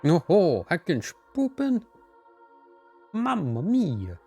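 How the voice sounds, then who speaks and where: A man's voice: sits high, wildly swooping pitch, slow pace, gravelly, a little mellow. A man streaming a game, chatting casually with playful, goofy interjections over game music. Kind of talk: casual livestream chat.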